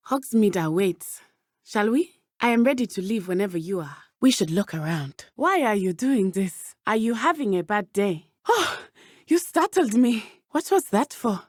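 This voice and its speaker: A woman's voice: mid-range voice, a little mellow, dry recording, clean studio sound. A woman playing a brave, earnest teenage witch in a video game, with a southern African accent and formal, precise phrasing. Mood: surprised, chiding. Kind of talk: video game character dialogue.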